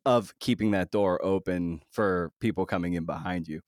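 A man hosting a podcast in a conversational interview style, often halting and repeating words as he thinks his way through. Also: deep voice, a little mellow, no echo, studio quality. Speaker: podcast host.

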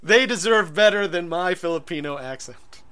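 A man's voice: high-pitched, expressive, normal speed, bright, forceful tone, smooth. A man co-hosting a podcast in casual chat, rambling and hesitant, full of fillers and restarts. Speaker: podcast co-host.

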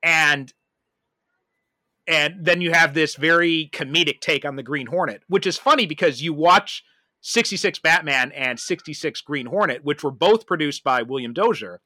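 A man's voice: fairly high pitch, normal speed, bright, forceful tone, no room sound. A man in a casual film discussion, talking loosely and circling back to rephrase. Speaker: podcast speaker discussing a film.

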